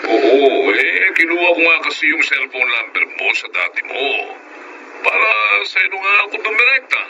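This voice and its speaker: A man's voice: on the high side, big pitch swings, moderate pace, vocal fry, bright, forceful tone, heavily acted. A middle-aged Filipino uncle wheedling over the phone. His voice swoops wildly and repeats itself, in a radio drama with noise on the line. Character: pushy middle-aged uncle asking for money. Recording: noisy distant phone call.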